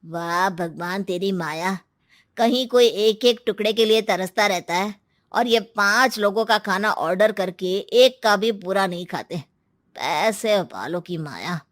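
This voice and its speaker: A woman's voice: mid-range voice, measured pace, dry recording, clean studio sound, lightly acted. A woman voicing a humble, kind-hearted male waiter in a story, wistful and unassuming.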